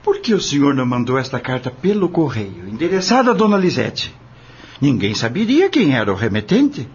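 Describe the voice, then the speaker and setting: A man playing a husky, wary farmhand. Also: mid-range voice, huge pitch swings, moderate pace, muffled recording. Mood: doubtful. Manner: questioning, plain-spoken. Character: wary, aggrieved dismissed farm worker, proud but hesitant. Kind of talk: audio drama acting.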